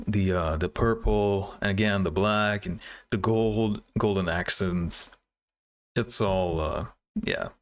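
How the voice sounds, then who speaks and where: A man's voice: low voice, slow. A man hosting a reaction video, commenting casually and thinking aloud in an informal, easily amazed way.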